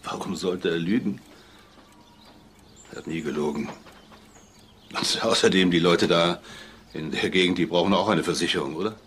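Very low-voiced, stern middle-aged father. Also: fairly bright tone, slight background noise. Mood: tense, grieving. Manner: slow, curt, halting. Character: stern, controlling, grieving father of a grown daughter. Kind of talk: German crime radio drama acting.

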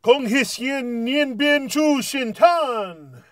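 A man playing an old, earnest Santa Claus, hearty and deliberate as he records greetings in a comedy sketch. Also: very high voice, expressive, heavily acted. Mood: strained effort. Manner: jolly, hearty, deliberate delivery. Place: small dead recording studio booth. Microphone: studio condenser microphone, close.

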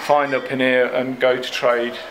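A middle-aged head brewer giving a walking tour in plain, unhurried speech with fillers, filmed on a camera held close by. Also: male voice, mid-range voice, flat delivery. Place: large echoey brewery building, indoors. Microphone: handheld camera close by, following him. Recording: echoey rooms with air-conditioning hum. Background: air-conditioning hum.

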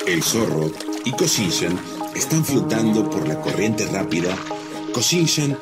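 Low-pitched male storyteller over music. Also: very animated, unhurried. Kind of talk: scripted narration of a children's animated folk tale. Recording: music under the voice.

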